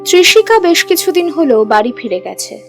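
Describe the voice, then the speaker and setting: A woman's voice: high-pitched, big pitch swings, fairly quick. A woman reading scripted narration for an audio love story. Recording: music bed behind the voice.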